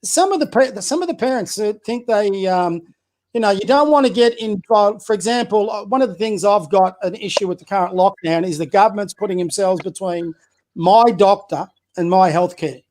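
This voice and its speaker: A high-pitched man talks as a guest on a casual podcast. He is a community basketball coach who speaks bluntly and opinionatedly, firing rhetorical questions at his listeners and tripping into restarts as he builds his point. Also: moderate pace, darkish tone. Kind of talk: casual political chat.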